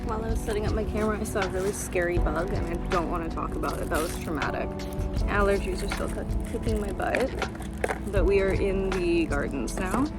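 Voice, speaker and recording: A congested woman chats to her camera in a loose, rambling travel vlog, her fairly low voice thick and stuffy. She fills her talk with easy fillers. Loud music plays underneath the whole time. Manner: rambling and chatty, many fillers. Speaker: vlogger. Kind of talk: casual travel vlog chat. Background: loud background music.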